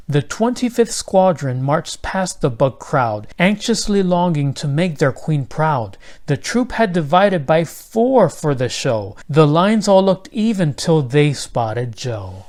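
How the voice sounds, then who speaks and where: A man narrating a children's rhyming storybook aloud in a measured delivery. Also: fairly high pitch, a little mellow.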